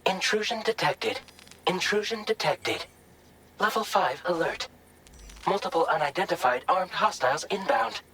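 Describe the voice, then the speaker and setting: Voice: medium pitch, normal speed, vocal fry, noisy background, natural voice. An automated shelter alarm voice giving urgent, impersonal alerts in a clipped, formal way. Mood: urgent warning.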